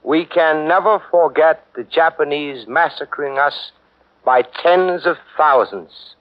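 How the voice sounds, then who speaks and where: An actor playing a determined male resistance leader in a 1940s radio drama, speaking in a solemn, deliberate way. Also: a little high. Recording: dull 1940s radio broadcast.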